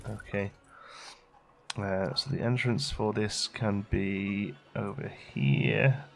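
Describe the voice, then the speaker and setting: A man giving casual, low-key gameplay commentary as a video host, thinking aloud and muttering to himself as he works. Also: steady tone, takes it slowly, creaky, soft background music. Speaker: let's play video host.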